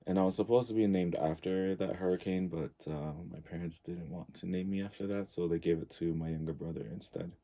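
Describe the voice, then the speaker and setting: A man in his early forties from Tonga, talking casually and reflectively as a podcast guest, halting as he thinks aloud. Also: low-pitched, steady tone, warm tone. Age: early 40s.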